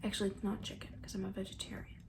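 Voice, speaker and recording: A woman doing soft-spoken ASMR roleplay as a camp counselor, chatting in a gentle, breathy, rambling way that often slips into a whisper. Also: medium pitch, completely flat delivery, racing. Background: banjo.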